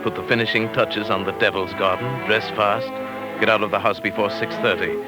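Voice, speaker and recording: A male actor plays a coldly scheming British husband in a 1940s radio thriller. His middle-aged voice sits fairly low, with precise, theatrical menace. Prominent music runs behind the old broadcast recording. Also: fairly even intonation, fairly quick, close to an everyday voice. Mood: smug narrating.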